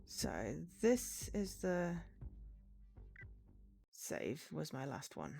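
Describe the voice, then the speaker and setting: A woman's voice: sits low, wildly swooping pitch, very slow, vocal fry, dark tone, faint music behind. A woman game streamer chatting live and reading game text aloud, breaking off for exasperated asides.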